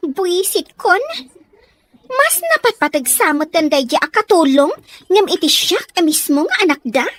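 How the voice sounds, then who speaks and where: A woman acts as a rebellious, resentful young daughter with an Ilocano accent. The voice is extremely high and swings widely in pitch. It is podcast comedy-drama, full of grievance. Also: sing-song, measured pace, slight background noise, exaggerated voice. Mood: bitter, aggrieved.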